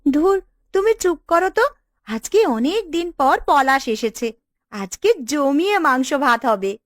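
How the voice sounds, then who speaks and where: Bossy, high-voiced village woman played by an actress. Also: expressive, unhurried, dry recording, clean studio sound. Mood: scolding. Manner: assertive, scolding. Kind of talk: Bengali cartoon dialogue.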